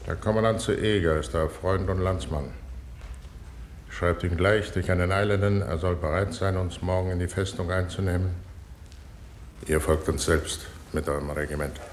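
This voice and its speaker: Man doing a stern old-world general in a radio play, very low voice, roomy echo, terse orders. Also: steady tone, normal speed, a little hiss or hum, close to an everyday voice. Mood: stern command. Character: commanding middle-aged general and prince, stern, resolute. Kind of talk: classical radio drama. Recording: roomy echo, faint background ambience.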